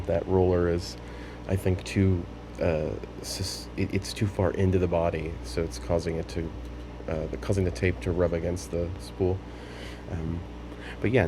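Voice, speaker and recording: A man giving an unscripted, casual hands-on demonstration, explaining things in a tentative, halting way. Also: low-pitched, background noise. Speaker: hobbyist demonstrating a tape machine repair.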